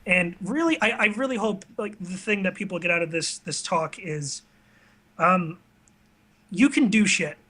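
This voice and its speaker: A man's voice: high voice, unhurried, bright, forceful tone. A man chatting casually as an interview guest, recorded in a bedroom. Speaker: interview guest, online video creator. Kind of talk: casual chat.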